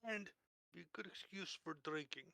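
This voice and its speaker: A man's voice: high-pitched, moderate pace, vocal fry, sharp tone, no echo. A man playing a tabletop roleplaying game, casually describing what his character says and does. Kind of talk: casual third-person roleplay narration.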